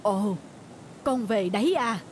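A woman voicing a calm, wise middle-aged fisherman father in a cartoon folk tale, speaking patiently and instructively. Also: medium pitch, slow. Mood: gentle concern.

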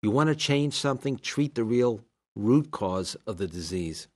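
A man acting a calm sixty-year-old doctor and yogi in a radio drama, collected and reasoning. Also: medium pitch, normal speed, natural voice.

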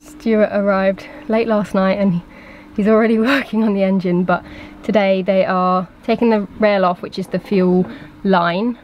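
A woman's voice: medium pitch, steady tone, normal speed, hardly any pauses, muffled recording, a little hiss or hum. A woman in her twenties chatting casually to camera inside a small boat cabin. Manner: chatty and enthusiastic. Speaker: sailing vlogger.

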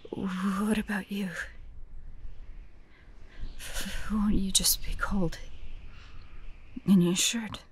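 A woman playing a prickly, guarded young hunter who secretly cares, in a soft, breathy romantic audio roleplay with a defensive, hesitant manner. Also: medium pitch, flat delivery, slow, a little hiss or hum, lightly acted. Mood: hesitant, reluctant. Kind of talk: romantic audio roleplay acting, addressed to the listener.

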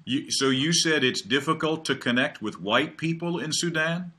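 An older man hosting, asking slow, clear, encouraging questions over a video call. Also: on the high side, bright, forceful tone. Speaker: host. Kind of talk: friendly interview questions.

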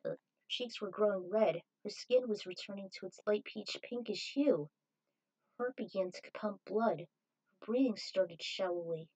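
A woman narrates a dramatic story. Her voice sits at a middle pitch for a woman, and she reads as a storyteller would. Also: moderate pace, warm tone, poor audio. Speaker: fan fiction writer reading a story aloud.

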